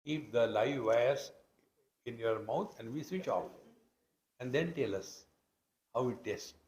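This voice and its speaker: A man's voice: medium pitch, expressive, slow pace, darkish tone. A man with an Indian accent giving a spiritual discourse as a teacher, explaining scripture in a conversational yet emphatic way. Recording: roomy, echoing hall sound.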